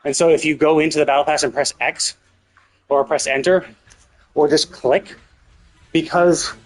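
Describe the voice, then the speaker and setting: A man's voice: a little high, rough recording. A man casually commentating on a game livestream, rambling and thinking aloud as he goes. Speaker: live streamer.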